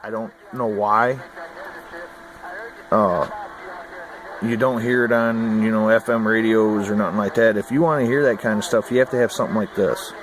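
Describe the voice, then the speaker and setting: Low-voiced American man over background noise. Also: steady tone, normal speed, long pauses. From United States. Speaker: hobbyist vlogger. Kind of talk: casual rambling chat to camera. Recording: noisy amateur camera audio.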